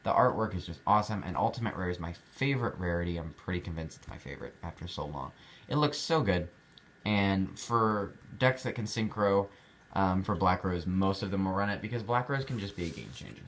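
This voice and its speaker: Young adult man, deep low voice, easygoing hobbyist vlog chat, rambling delivery. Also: expressive, a little fast, a bit bright, a little hiss or hum. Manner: chatty, rambling, with many fillers and restarts. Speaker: card collector showing his cards.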